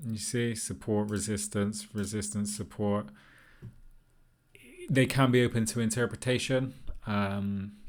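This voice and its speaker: A man giving an informal, unscripted tutorial, thinking aloud in an easygoing, tentative way as he explains. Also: on the low side. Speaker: trading tutorial presenter.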